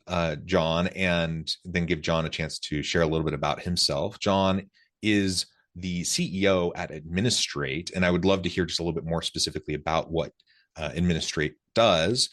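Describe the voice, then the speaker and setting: A man's voice: sits low, moderate pace, a bit bright. A man hosting a podcast interview in a conversational way, wordy and often doubling back on his words. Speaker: podcast host.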